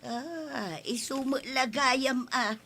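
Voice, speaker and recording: An actress playing an emotional village wife in a radio drama, with an Ilocano accent and drawn-out, expressive exclamations. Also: female voice, sits high, husky, heavily acted. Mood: suspicious, surprised. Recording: loud background music.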